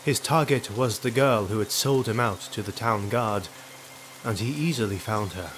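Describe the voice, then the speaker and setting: A man's voice: mid-range voice, a little hiss or hum, rough recording. A man narrating a fantasy audio drama in a scripted storytelling style. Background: background music.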